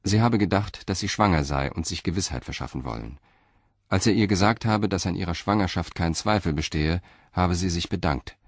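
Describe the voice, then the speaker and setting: A man narrating a radio crime play in a calm, measured storytelling manner. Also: sits low, dry recording, clean studio sound. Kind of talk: scripted audio drama narration.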